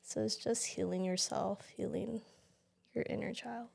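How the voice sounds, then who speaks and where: A woman's voice: medium pitch, fairly even intonation, a little slow, creaky, darkish tone. A young woman co-hosting a podcast, talking reflectively and casually with lots of fillers. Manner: reflective, many fillers like "you know" and "like". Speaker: podcast co-host.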